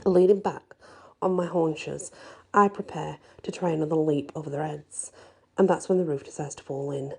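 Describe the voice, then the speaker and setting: A woman reads a fantasy tale in a very dark, mellow voice with a breathy husk. Her tone sits low and creaks into fry at times. She tells it softly and expressively, ASMR-style. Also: fairly even intonation, moderate pace. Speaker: storyteller reading a fantasy audiobook. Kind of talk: ASMR-style first-person dramatic story narration.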